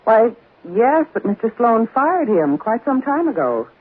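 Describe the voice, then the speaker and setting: A woman's voice: fairly high pitch, sing-song, unhurried, breathy edge, heavily acted. An actress playing a scheming, cold-blooded woman in 1940s radio drama, on a muffled old recording. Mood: feigned reluctance.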